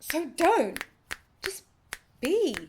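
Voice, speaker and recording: A woman's voice: very high-pitched, sing-song, drawn-out words, background noise, exaggerated voice. A woman playing a lonely, earnest would-be girlfriend in a naturalistic audio play, speaking tentatively and pleadingly. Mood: hurt. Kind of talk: naturalistic audio drama acting.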